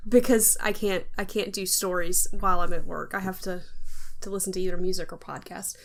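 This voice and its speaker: A woman's voice: on the low side, steady tone, a little fast, gravelly. A woman co-hosting a podcast in casual, conversational chat. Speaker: podcast co-host.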